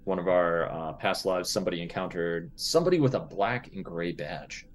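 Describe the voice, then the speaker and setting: A man chatting casually as a player in a tabletop roleplaying game, improvising in halting, deliberate phrases. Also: deep voice, lively intonation.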